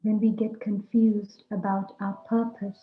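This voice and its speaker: A woman leading a guided meditation talk, speaking deliberately in short, measured phrases. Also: medium pitch, little pitch movement. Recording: roomy echo, dull lo-fi sound, music. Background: music.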